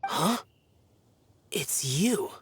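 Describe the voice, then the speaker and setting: A man's voice: fairly high pitch, animated, very slow, a little hiss or hum. A man voicing a youthful, aloof immortal guardian in a video game, grave, terse and formal. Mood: solemn. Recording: game music behind.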